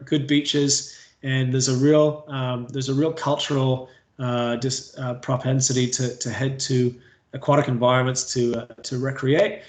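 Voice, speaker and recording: A Canadian man gives a training talk on pool safety and keeps circling back with fillers and restarts. His pitch barely moves, and the room gives his voice a noticeable echo. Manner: hesitant, many fillers and restarts. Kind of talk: staff training webinar presentation.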